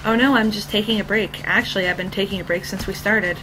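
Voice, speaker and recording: A woman's voice: medium pitch, steady tone, quick pace, music in the background, lightly acted, low-quality recording. A woman voicing a cheerful, sporty teenage girl who talks in a breezy, candid way.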